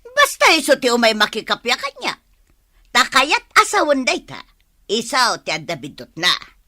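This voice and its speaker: A woman's voice: on the high side, wildly swooping pitch, moderate pace, bright, forceful tone, dry recording, clean studio sound, full character voice. A woman playing a stubborn, huffy older village mother who holds grudges, in an Ilocano comedy radio drama. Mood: stubborn, indignant.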